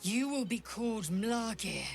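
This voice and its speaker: A woman's voice: on the high side, expressive, measured pace, faint music behind. An actress playing a stern, proud alien warrior woman with a formal, emphatic delivery in a video game. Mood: solemn pride. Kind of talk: dramatic video game acting.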